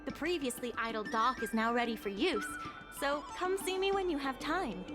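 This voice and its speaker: A woman's voice: high voice, lively intonation, moderate pace. An actress playing an upbeat, businesslike young woman who manages a dock in a video game, earnest and organised. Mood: upbeat, determined. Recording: background music under the voice.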